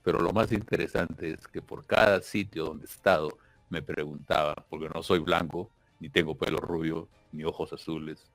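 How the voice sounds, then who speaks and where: A man's voice: rumbling low, steady tone, moderate pace, few pauses, no room sound, soft background music, rough recording. A man from Peru with a Peruvian Spanish accent, a political candidate giving a solemn, emphatic campaign speech in an interview and repeating words as he searches for them.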